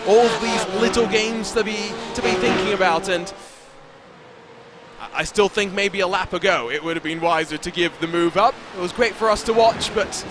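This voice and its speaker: High-pitched male play-by-play commentator. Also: moderate pace, noisy background. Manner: fluent, excitable play-by-play with playful banter. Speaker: sim racing lead commentator.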